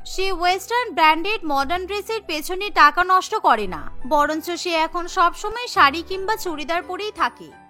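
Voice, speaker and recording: A woman narrating a cartoon story in a plain, steady storytelling way. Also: very high-pitched.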